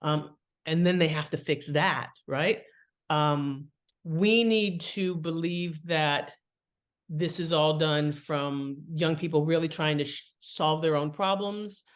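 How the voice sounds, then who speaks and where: A woman speaks as an interview guest at a middling pitch. She explains her points in an animated, conversational way, like an author talking through her craft. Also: slow pace. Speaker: guest, fiction writing author.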